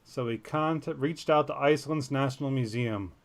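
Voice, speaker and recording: A man's voice: medium pitch, normal speed. Man reading a story, jokey asides. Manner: jokey, easily sidetracked, self-deprecating. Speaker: podcast co-host. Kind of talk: reading a news story aloud with casual asides.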